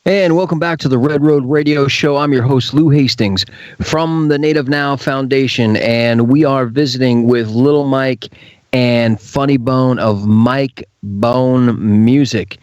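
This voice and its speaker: A man's voice: mid-range voice, unhurried, a little mellow, no room sound, dull audio. A man hosting a radio show in a conversational interview style.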